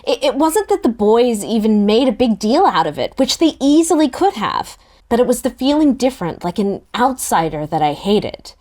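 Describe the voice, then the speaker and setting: A woman narrating a first-person novel in a relaxed, expressive table-read style. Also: on the high side, moderate pace.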